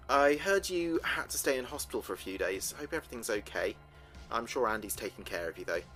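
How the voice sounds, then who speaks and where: A man playing an earnest space communications officer, recording logs in a measured, thoughtful way for a sci-fi audio drama. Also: lowish voice, fairly bright tone. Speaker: communications officer keeping a mission log. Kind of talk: audio drama log narration.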